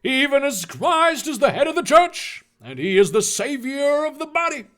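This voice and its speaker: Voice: high-pitched, sing-song, normal speed, a bit bright, voice pushed up. A performer voicing a fervent fire-and-brimstone preacher, declaiming scripture. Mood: commanding.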